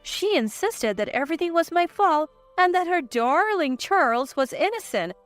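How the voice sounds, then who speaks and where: High-pitched, lively woman narrating. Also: moderate pace, soft background music. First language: English. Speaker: story narrator. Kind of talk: scripted first-person story narration.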